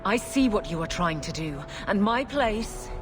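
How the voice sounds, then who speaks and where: A woman's voice: medium pitch, animated, unhurried, over music, close to an everyday voice. An actress playing an earnest, dutiful female warrior companion in a fantasy game. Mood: torn. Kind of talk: fantasy video game voice acting.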